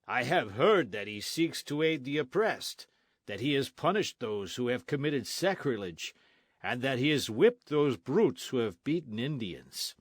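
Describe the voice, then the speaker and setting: A deep-voiced old friar in his sixties speaks with stern, fearless defiance, as a man puts him on. The sound is dry and close. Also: animated, measured pace, dry recording. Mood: defiant. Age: 60s.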